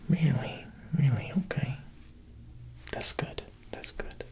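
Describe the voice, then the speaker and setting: A young man playing a smooth, quietly menacing Italian mob boss tailor in an ASMR roleplay, speaking softly and unhurriedly at a close mic, with insinuating pauses. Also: medium pitch. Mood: probing, sly. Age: young adult. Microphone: close ASMR microphone.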